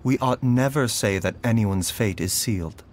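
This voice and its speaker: A man voicing a calm young doctor who speaks gently and with measured reassurance in a game cutscene. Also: fairly low pitch, a little hiss or hum, lightly acted. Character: calm composed male doctor bound to a contract. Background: music.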